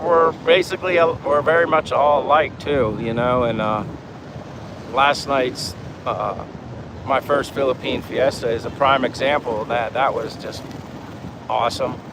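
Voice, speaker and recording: A man's voice: mid-range voice, a little slow. Chatty man, noisy outdoor livestream. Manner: chatty, meandering, informal. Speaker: livestream vlogger. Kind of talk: casual rambling livestream chat, reading viewer comments. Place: outdoors in the Philippines, in daytime. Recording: noisy outdoor ambience with wind, vehicles. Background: wind, vehicle and outdoor ambience noise.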